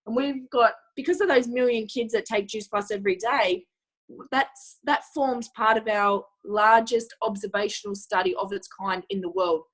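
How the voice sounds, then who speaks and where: A woman, croaky from yelling, giving an enthusiastic product pitch to a group and asking them questions. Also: low-quality recording. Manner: enthusiastic, asks the audience questions, many fillers. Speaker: product presenter.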